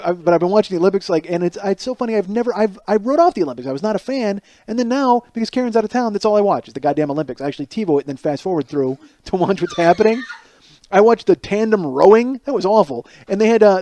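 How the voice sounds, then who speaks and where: A man's voice: sits high, dark tone, no room sound. A man in his forties with a lisp, hosting a podcast in a rambling, self-mocking comedic monologue. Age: 40s. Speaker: podcast host.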